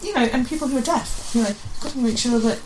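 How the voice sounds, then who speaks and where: Fast-talking higher-voiced woman. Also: a little mellow, poor audio. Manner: chatty, repeats phrases, acts out quoted remarks. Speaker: interview guest, amateur pantomime director. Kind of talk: casual animated chat. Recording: clear background noise.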